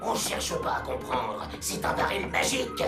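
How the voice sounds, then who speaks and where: A man's voice: mid-range voice, huge pitch swings, moderate pace. A man voicing a short-tempered, scheming outlaw boss with a bossy, exasperated delivery in a French cartoon. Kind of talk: French animated cartoon acting. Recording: loud cartoon music behind the voice.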